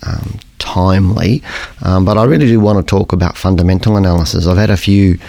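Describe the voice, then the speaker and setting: A middle-aged man with a croaky voice hosting a podcast, explaining things in a rambling, conversational way and often correcting himself. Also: low-pitched, brisk, dark tone, no room sound. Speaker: podcast host. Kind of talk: casual educational podcast monologue.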